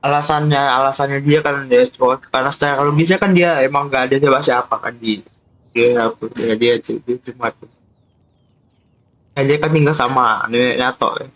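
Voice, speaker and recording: A woman's voice: very deep voice, steady tone, brisk, poor audio. A woman with an Indonesian accent giving informal, amused gameplay commentary in short reactions. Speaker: game streamer.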